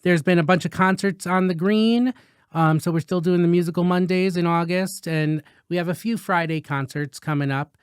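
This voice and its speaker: Young male host, chatty and rambling, smooth tone, close dry mic. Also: high-pitched, fairly even intonation, normal speed, no room sound. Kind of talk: casual podcast chat.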